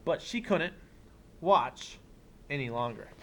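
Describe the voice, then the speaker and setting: A man teaching young children, reading a picture book aloud expressively and pausing for simple explanatory asides. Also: on the high side, expressive, fairly bright tone, a little hiss or hum. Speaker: elementary school teacher.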